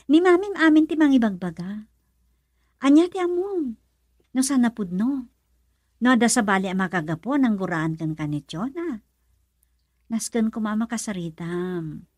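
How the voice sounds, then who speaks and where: A woman's voice: on the high side, no echo, exaggerated voice. An actress playing an emotional young woman, a questioning close friend, with a Filipino accent in a radio drama. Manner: expressive, probing with many questions.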